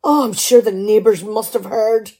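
A woman playing a nagging, exasperated, sharp-tongued middle-aged wife in a radio play. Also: mid-range voice, steady tone, moderate pace, husky. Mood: sarcastic, exasperated. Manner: exasperated, sarcastic scolding.

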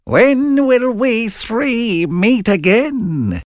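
Guy doing creepy old witches, super high and screechy, dragging the words out slow. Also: animated, no room sound, studio quality, heavily acted. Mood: eerie chanting. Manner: shrill, drawn-out chanting. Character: three cackling ugly old witches plotting.

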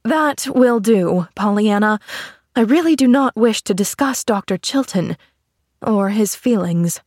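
A woman's voice: low voice, flat delivery, normal speed, vocal fry, no room sound, studio quality, pitched below the performer's own voice, full character voice. A woman voicing a stern, prim middle-aged aunt in a storybook, reproving in a clipped, decisive manner. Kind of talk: storybook character acting.

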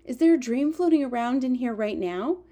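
A woman's voice: high voice, lively intonation, brisk, dark tone, voice pushed up. A woman voicing a curious, polite young girl in a children's story, eager and earnest in a well-spoken way. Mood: intrigued.

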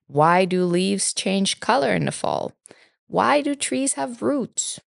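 A woman from Finland in her thirties, a native Finnish speaker, co-hosting a podcast with calm, explanatory reading. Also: mid-range voice, takes it slowly, gravelly, dry recording, clean studio sound. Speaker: podcast co-host. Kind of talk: scripted podcast narration.

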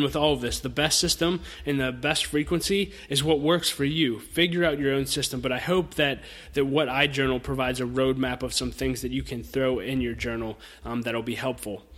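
A man's voice: medium pitch, fairly bright tone, in a large hall. A thirty-year-old man hosting a solo podcast, speaking informally and earnestly to listeners in an encouraging, conversational lesson style. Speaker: podcast host.

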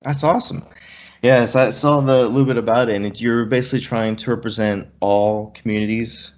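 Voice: medium pitch, steady tone, brisk, a little mellow, muffled recording. Laughing, casual podcast host. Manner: friendly, laughs a lot, casual slang.

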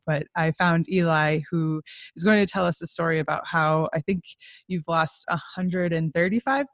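A podcast host who introduces the show and chats with a guest. The host sounds friendly and upbeat, with a medium pitch, and sprinkles in casual fillers. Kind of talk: casual interview.

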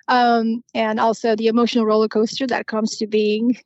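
A woman in her forties, raised in Romania, speaking as a podcast guest in a reflective way, pausing often with ums and restarting her sentences. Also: a little high, little pitch movement, a little mellow, dry recording, muffled recording. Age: 40s. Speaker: podcast guest, financial planner. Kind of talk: conversational interview.

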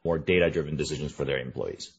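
A man's voice: rumbling low, fast talker, breathy edge, highs cut off. A man hosting a podcast, upbeat and conversational as he introduces his guest and asks questions. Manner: upbeat, conversational, casual fillers. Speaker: podcast host. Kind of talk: podcast interview.

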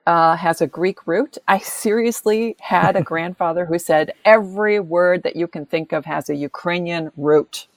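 A middle-aged American woman, an author, chatting in an interview and telling animated family stories, laughing often and acting out the voices of people she quotes. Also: fairly low pitch, unhurried, no echo. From United States. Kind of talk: casual interview conversation.

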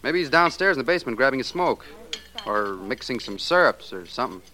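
A man's voice: mid-range voice, very animated, fairly quick, bright, forceful tone. An actor playing a helpful young male store clerk in a 1940s radio drama, informal and chatty. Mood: eager, helpful. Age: young adult. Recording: noisy old radio recording.

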